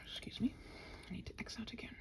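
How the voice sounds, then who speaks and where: A woman hosting a rambling ASMR work session, muttering to herself, often half-whispered, with faint noise. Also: fast. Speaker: host of a body-doubling ramble. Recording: faint noise, keyboard typing. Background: computer keyboard and typing.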